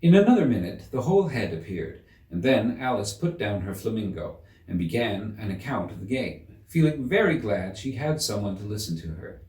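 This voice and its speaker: A man narrating a children's story as an audiobook reader, in an expressive storytelling style. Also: lowish voice, moderate pace, few pauses. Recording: roomy echo, slightly distant mic.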